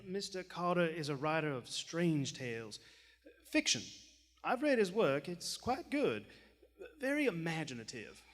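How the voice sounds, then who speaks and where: A mild, courteous gentleman as acted by a man speaks in a high, swooping, placating voice. It carries through a reverberant theater in a live radio horror play. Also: sing-song, measured pace. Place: large theater stage, live performance. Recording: live stage in echoing theater hall.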